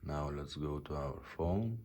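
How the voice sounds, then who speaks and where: A man's voice: rumbling low, unhurried, darkish tone, a little hiss or hum. A man casually presenting a product demonstration, talking viewers through it step by step. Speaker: presenter demonstrating restored car radios.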